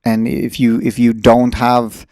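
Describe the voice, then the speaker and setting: A man's voice: medium pitch, completely flat delivery, measured pace, dark tone, no room sound, clean studio sound. A middle-aged man talking in a casual, reflective and blunt way as he hosts a podcast conversation.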